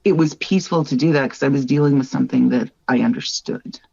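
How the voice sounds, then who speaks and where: A woman's voice: extremely low pitch, fast talker, gravelly, warm tone, husky, no echo, lo-fi sound. A middle-aged woman from Northern Ontario, a wildlife artist speaking earnestly in a candid interview, often tagging on "like" and "right?". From Northern Ontario, Canada. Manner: earnest, reflective, lots of "like" and "right?". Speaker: wildlife artist, podcast guest.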